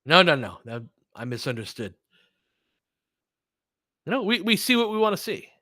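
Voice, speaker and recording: A man's voice: on the high side, big pitch swings, moderate pace, bright, forceful tone, dry recording, studio quality. A man hosting a live sports stream, chatting casually and informally, thinking aloud as he gives his commentary. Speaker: sports podcast host. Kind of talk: casual live basketball commentary, replying to chat.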